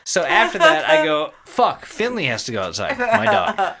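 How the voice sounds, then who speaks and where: A woman co-hosting a casual comedy podcast. Her pitch swings widely as she talks crudely and swears freely. Other people's voices can be heard around her. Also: wildly swooping pitch, moderate pace, creaky, crowd noise. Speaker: podcast co-host. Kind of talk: casual comedic chat and storytelling.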